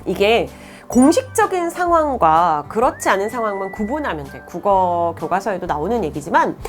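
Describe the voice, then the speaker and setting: A woman's voice: medium pitch, sing-song, normal speed. A woman Korean-language teacher, around her thirties, giving friendly advice to students and reading their letters aloud in a chatty, encouraging way, often laughing. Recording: faint background music.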